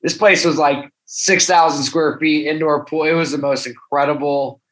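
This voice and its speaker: A man co-hosting a casual chat, excitedly recounting a story. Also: fairly high pitch, moderate pace, poor audio. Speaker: podcast co-host.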